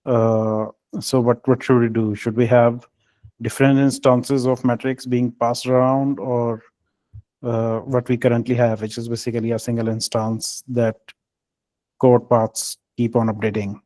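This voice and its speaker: A man leading a technical team call, speaking in a halting, hesitant way with filled pauses. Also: medium pitch, little pitch movement, mellow, dry recording. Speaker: meeting lead.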